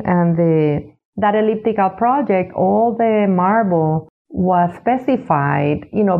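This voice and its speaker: A woman in her sixties from Puerto Rico, an architect answering interview questions in an unhurried way, with many "you know" asides. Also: lowish voice. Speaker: architect and executive director, guest. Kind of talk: interview answers, reflective storytelling. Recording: tinny phone-like line.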